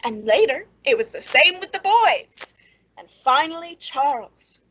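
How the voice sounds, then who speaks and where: A woman acting a bitter, clever, quietly menacing character in an old-time radio suspense play, brooding and pointed in delivery. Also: high voice, very animated, unhurried, exaggerated voice, rough recording.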